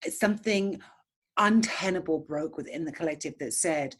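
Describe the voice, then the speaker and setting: A woman speaks as a podcast guest in a low, husky voice. She talks with fervent conviction and often repeats a word while she reaches for the next thought. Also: normal speed. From England. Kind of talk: impassioned conversational podcast talk.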